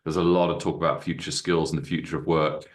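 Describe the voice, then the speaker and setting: A man in his fifties with a bass voice, a guest on a podcast, telling his story in an animated, expansive way. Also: fast talker. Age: 50s. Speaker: guest, university co-founder. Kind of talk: podcast interview.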